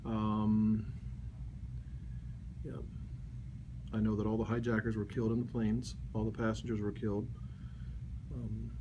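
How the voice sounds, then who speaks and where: A man in his forties answering interview questions, pausing often with ums and restarts. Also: fairly low pitch, monotone, lo-fi sound. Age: 40s. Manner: halting, many ums and restarts. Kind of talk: recalling and explaining in a casual interview. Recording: background noise.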